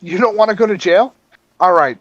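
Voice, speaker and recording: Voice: medium pitch, brisk, highs cut off. A speaker in casual banter, joking with mock disbelief and plenty of swearing.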